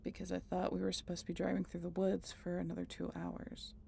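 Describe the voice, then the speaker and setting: A woman's voice: fairly low pitch, completely flat delivery, a little fast, creaky, dark tone, slight background noise. A woman playing a snarky, teasing female vampire in an audio roleplay, talking to the listener in a wry, conversational way. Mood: exasperated. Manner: sardonic, wry, playful, conversational. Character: snarky, swearing female vampire road-tripper, teasing and dramatic.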